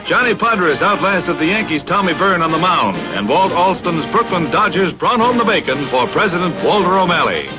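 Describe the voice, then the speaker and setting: High-voiced American man, punchy vintage newsreel announcer. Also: very animated, moderate pace, few pauses, poor audio. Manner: punchy, dramatic announcer delivery. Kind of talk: 1950s newsreel sports narration, scripted. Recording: vintage 1950s newsreel soundtrack with loud music. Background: loud music under the narration.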